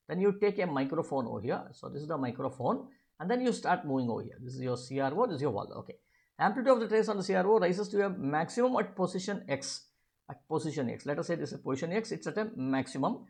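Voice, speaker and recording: Creaky-voiced physics teacher explaining step by step. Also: mid-range voice. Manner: didactic, checks with rhetorical questions and "right?". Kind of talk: step-by-step spoken tutorial of an exam problem.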